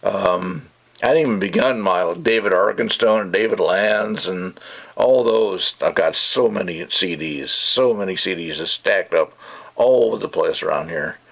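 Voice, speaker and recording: A man's voice: low-pitched, a little slow, vocal fry, a bit bright. A man giving a casual, rambling and opinionated product review, talking off the cuff.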